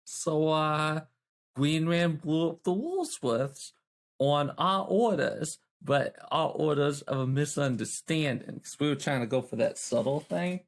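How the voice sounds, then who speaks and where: A man with a creaky, slightly high voice rambles haltingly through casual tabletop role-play. His pace is slow. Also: animated. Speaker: player.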